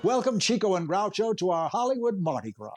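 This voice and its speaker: An actor playing an earnest, cheerful singer who hosts a 1930s radio show. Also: male voice, sits high, sing-song, brisk, music in the background. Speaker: show host. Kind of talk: 1930s radio comedy acting.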